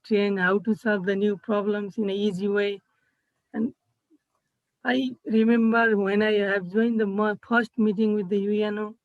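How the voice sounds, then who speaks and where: Nepali-accented man, halting video-call presenter. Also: sits high, no room sound. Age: young adult. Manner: halting, searching for words, frequent restarts. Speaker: student intern presenting. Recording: dull, slightly muffled video-call audio.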